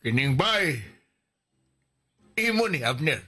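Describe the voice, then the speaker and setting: An actor playing an elderly rural Filipino father in a radio melodrama, with a Cebuano accent and a folksy, halting, repetitive way of talking. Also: a little high, big pitch swings, loud music behind the voice. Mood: matter-of-fact. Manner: folksy, halting, repeating his phrases. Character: elderly rural father dividing his land among his children. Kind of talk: melodramatic radio drama acting.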